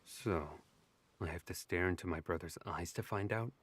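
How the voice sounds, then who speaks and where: A young elf lord played by a man speaks in a very deep voice, dry and sardonic. He sounds abrasive and aristocratic, in a close, dry audio drama recording. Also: normal speed, no echo. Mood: curious, uneasy. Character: wry, abrasive young elf noble, secretly divine.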